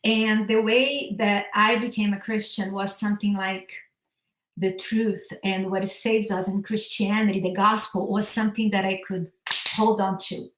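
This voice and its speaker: A woman from Brazil with a Brazilian accent tells her story in an earnest tone, now and then restarting a sentence. Her mid-pitched voice echoes through the room around her. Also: flat delivery, a little slow, a bit bright. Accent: Brazilian Portuguese. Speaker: guest. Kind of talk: personal testimony in conversation.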